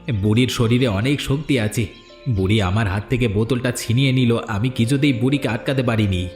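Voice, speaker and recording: A man's voice: mid-range voice, fairly quick, darkish tone, natural voice. An actor playing a reluctant, greedy village henchman, hesitant and complaining, in a fairy-tale cartoon. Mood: grudging. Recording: echoey studio dub with background music.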